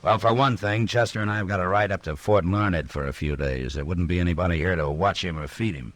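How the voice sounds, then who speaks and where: An American actor playing a calm, firm, fair-minded frontier marshal in an old-time radio western, speaking in a laconic, dryly wry way. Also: male voice, sits low, brisk, no room sound, close to an everyday voice. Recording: old radio drama, music behind.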